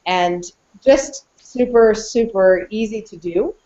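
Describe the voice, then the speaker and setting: A woman's voice: mid-range voice, slow pace, dark tone. A middle-aged woman co-hosting a casual chat for educators, upbeat and encouraging as she walks through tools. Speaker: podcast co-host and educator. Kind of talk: casual chat with tool demonstration. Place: small indoor office, video call. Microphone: computer microphone on video call. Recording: dull, lo-fi video call audio.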